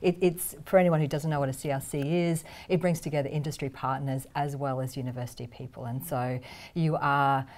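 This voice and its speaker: A woman's voice: very deep voice, steady tone, a little fast, a little mellow. A middle-aged woman academic talking conversationally and enthusiastically on a panel. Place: indoor studio panel set.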